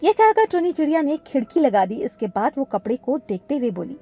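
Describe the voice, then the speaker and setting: A woman's voice: high voice, sing-song, fairly quick, warm tone, dull audio, soft background music. A woman reading scripted narration for a children's cartoon story.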